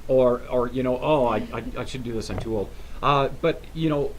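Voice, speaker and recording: Male host, 50s, chatty and halting with restarts, faint hiss under the voice. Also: mid-range voice, low-quality recording. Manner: rambling, halting with many restarts. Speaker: talk show host. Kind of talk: casual interview chat.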